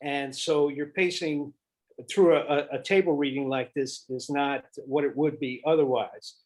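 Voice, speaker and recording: Male director, slightly high voice, informal feedback, halting delivery that circles back on itself as he thinks. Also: fairly even intonation, a little mellow. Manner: halting, thinking aloud, repeating himself. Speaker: director giving notes to actors. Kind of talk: casual spoken feedback.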